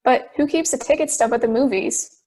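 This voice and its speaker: Woman playing a high-voiced, questioning juror. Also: fairly quick, mellow. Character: calm, questioning juror who doubts and keeps order. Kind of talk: amateur audio drama acting.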